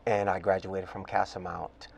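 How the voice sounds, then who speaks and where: A man's voice: low-pitched, level tone, moderate pace, gravelly, slight background noise. An older man from Oakland with an American accent, answering as a guest in a conversational interview. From Oakland, California, United States. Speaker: interview guest, custodial and grounds director.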